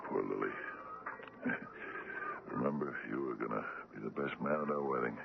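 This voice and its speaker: An actor playing a gruff, stubborn, ailing ship's captain in a radio drama, weak and halting, stammering and repeating words. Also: male voice, a bass voice, heavily acted. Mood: weak, resigned. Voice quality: gravelly, weak. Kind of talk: old-time radio drama acting. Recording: noisy, tinny old radio recording.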